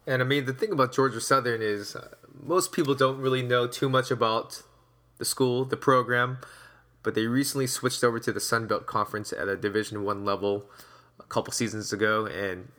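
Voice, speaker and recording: A man's voice: medium pitch, steady tone, brisk, pushed and bright. A man hosting a sports podcast, talking in a casual, upbeat, conversational way. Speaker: podcast host and interviewer.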